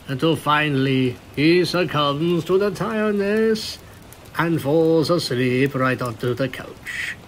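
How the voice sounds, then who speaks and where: A man's voice: on the high side, slight background noise, rough recording. A man narrating a scripted story as a fan fiction reader.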